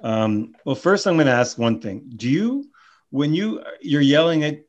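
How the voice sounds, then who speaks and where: A man's voice: on the high side, lively intonation, measured pace. Easygoing man on a dull mic. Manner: easygoing, steers the conversation. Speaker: podcast host. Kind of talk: casual chat.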